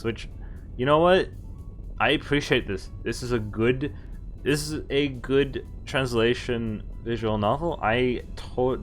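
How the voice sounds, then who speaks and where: A man livestreaming a visual novel, reading it aloud theatrically with amused commentary. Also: medium pitch. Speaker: game streamer. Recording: game music behind the voice. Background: visual novel music and Japanese game voices.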